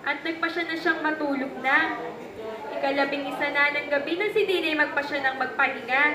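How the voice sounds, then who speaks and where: A woman's voice: sits high, steady tone, fairly quick, a crowd in the background. A young woman narrating a puppet show for children, reading a scripted story. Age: young adult. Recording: echoey room with background noise.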